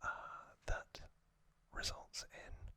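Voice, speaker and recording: Male ASMR host, breathy whisper throughout, very unhurried and gentle, dry close-mic sound. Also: no room sound. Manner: gentle, unhurried, halting phrases. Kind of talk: whispered ASMR plot recap. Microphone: close ASMR microphone.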